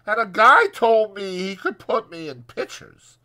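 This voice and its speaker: Man putting on a brassy high-pitched scornful young woman. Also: big pitch swings, measured pace, sharp tone, heavily acted. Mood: indignant, bitter. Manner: contemptuous, brassy, slangy rural American.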